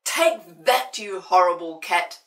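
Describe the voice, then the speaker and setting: A woman voicing a furious, grumpy old man neighbour in a storybook, shrieking and shouting. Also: lowish voice, animated, slow. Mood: gleeful spite. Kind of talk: storybook character voice. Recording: roomy, distant microphone.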